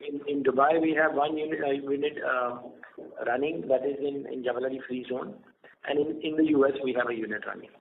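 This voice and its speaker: A man speaking as a company executive answering investor questions on an earnings call, with a hesitant, halting delivery. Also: mid-range voice, fairly even intonation. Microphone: telephone conference line. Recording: tinny phone line with clear noise.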